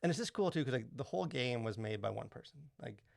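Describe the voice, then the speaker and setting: Fast-talking man with a creaky edge. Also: mid-range voice, lively intonation, darkish tone, dry recording, clean studio sound. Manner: rambling, halting, filler-heavy explanations. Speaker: podcast co-host. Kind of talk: casual podcast chat.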